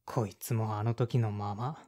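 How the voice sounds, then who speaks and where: A man's voice: on the low side, fairly even intonation, normal speed, dark tone, natural voice. A man playing a blunt, resentful son in his thirties, in audio drama dialogue and narration. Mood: brooding, nostalgic. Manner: sullen, blunt. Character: resentful 35-year-old son, former teenage rock guitarist.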